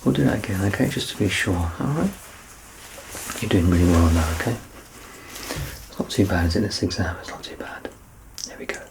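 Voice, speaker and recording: A man in his thirties doing an ASMR medical exam roleplay as a doctor, soft-spoken, reassuring and explanatory. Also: sits low, lively intonation, brisk, vocal fry, pauses often, noisy background. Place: small curtained indoor room.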